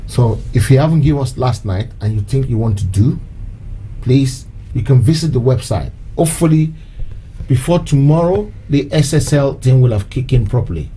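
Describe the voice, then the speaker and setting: A man's voice: mid-range voice, expressive, moderate pace, warm tone, slight background noise, rough recording. A man hosting a live-stream show, talking in a loose, repetitive way and slipping in short Yoruba phrases. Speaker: host of an online activist show. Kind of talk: casual live-stream address.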